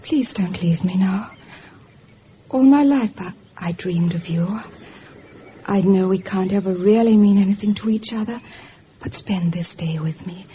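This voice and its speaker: A woman's voice: mid-range voice, warm tone, close to an everyday voice. Wistful teenage island girl, played by a woman, muffled radio. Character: wistful seventeen-year-old girl living alone on island. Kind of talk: vintage radio drama acting. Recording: dull, hissy vintage radio recording.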